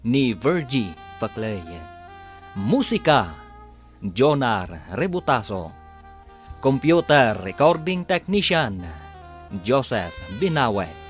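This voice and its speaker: Animated, unhurried male radio serial announcer. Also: mid-range voice. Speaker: announcer and narrator. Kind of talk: dramatic radio serial narration.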